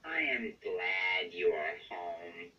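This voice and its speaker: A woman's voice: expressive, drawn-out words, full character voice. A woman voicing a worried but forgiving male puppet friend from a storybook, earnest and caring. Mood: soft, forgiving. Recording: distant, dull low-fidelity home recording.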